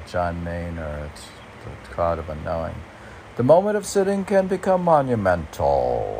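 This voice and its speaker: A man's voice: low voice, gravelly, noisy background. A man reading lines of poetry and commenting on them in a casual, rambling, hesitant way, as if thinking aloud. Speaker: poet reading and musing on his own poems.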